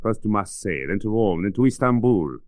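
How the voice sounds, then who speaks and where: A very low-pitched, earnest doctor of middle age, played by a man in an old radio drama. He phrases things formally and with care, and the sound is dry and close. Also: lively intonation, a little fast, a little mellow, no room sound, close to an everyday voice. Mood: earnest, grave. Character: grave, earnest middle-aged European doctor, male. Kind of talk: 1940s radio drama acting.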